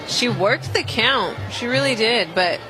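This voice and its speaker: A woman giving conversational color commentary on a live softball radio broadcast from a stadium. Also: fairly high pitch, expressive, a little slow, rough recording. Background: crowd noise and stadium music.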